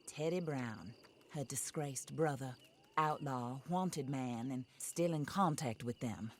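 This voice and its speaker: A genteel Western widow for a game cutscene, her voice notably low. She is composed, formal and drily polite, with a resolute, vengeful core. Night insects sound clearly behind her. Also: slow pace, slight background noise. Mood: cool, wry. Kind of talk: video game cutscene acting.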